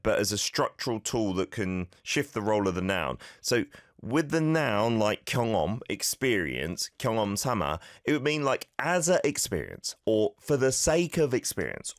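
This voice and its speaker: A man hosting a radio show, coaching listeners through a phrase in a language he did not grow up speaking, relaxed and self-deprecating. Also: medium pitch, no echo, studio quality. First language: not Korean. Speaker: radio show host teaching Korean. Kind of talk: casual radio lesson chat.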